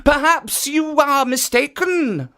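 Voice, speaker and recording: A man's voice: very high voice, expressive, slow pace, bright, forceful tone, voice pushed up, heavily acted. A man voicing a kindly stone statue of a guardian monk in a children's story, politely giving careful warnings. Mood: polite, evasive.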